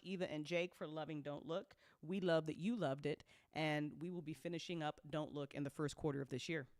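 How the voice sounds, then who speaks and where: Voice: medium pitch, a bit bright, dry recording, studio quality. A host giving a casual livestream update, talking effusively with casual swearing and a giggly, easily amused manner. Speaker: host and audio drama creator. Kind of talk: casual livestream update, reading and answering fan comments.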